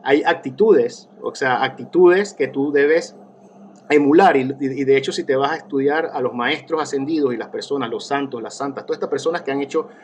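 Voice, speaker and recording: A man's voice: medium pitch, a little fast, a little hiss or hum. A man, a therapist talking as an interview guest, reflective and rambling with many restarts and fillers. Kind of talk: casual conversational interview.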